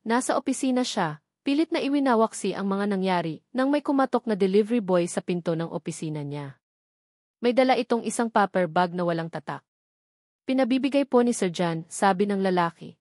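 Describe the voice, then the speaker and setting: A woman's voice: medium pitch, expressive, brisk, a little mellow, no echo, studio quality. A woman with a Filipino accent reading a scripted romantic drama as an audiobook narrator.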